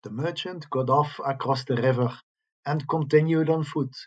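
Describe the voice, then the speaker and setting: A man reading scripted tales aloud as a storyteller, with a husky voice. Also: on the high side, normal speed, darkish tone, muffled recording. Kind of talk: slow scripted ghost story narration.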